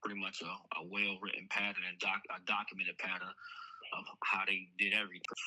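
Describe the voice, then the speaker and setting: A man's voice: sits low, completely flat delivery, a little fast, sharp tone, low-quality recording. A man speaking informally and insistently as a caller in a casual recorded phone conversation, often repeating himself. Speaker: caller reporting a case to police.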